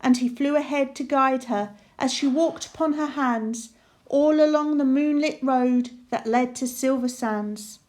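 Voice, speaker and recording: A woman narrating a rhyming children's storybook aloud in a measured, sing-song way. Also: high voice, a little mellow.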